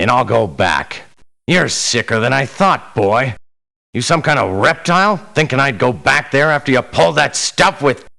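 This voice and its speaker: Casting: menacing veteran soldier of fortune for a game, gravelly, hard-edged delivery, blunt and belittling. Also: male voice, medium pitch, sharp tone, full character voice. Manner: blunt, folksy, talks down to the listener. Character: gruff, menacing veteran mercenary man, stern and condescending. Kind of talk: video game character voice lines.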